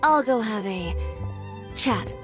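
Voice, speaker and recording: A woman playing a blunt, confident young woman with a deadpan manner in a video game. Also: a little high, slow. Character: blunt, self-assured young woman bent on payback. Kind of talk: video game character acting. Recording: music playing behind.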